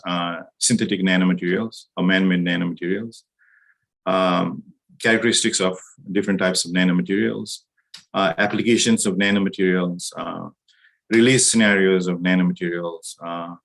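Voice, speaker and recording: Flat, low-voiced man lecturing on a video call. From Nepal. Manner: measured, hesitant with frequent uh fillers. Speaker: university lecturer. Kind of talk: online lecture over slides.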